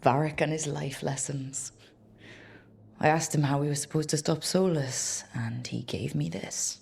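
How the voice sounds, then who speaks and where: Video game adventurer, female, deep register, creaky edge, wry, reflective, unhurried. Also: fairly even intonation, a little mellow, heavily acted. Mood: wistful, reflective. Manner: unhurried, musing to herself. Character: weathered young woman adventurer, resolute, wry, reflective. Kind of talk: video game voice acting, reflective solo musing.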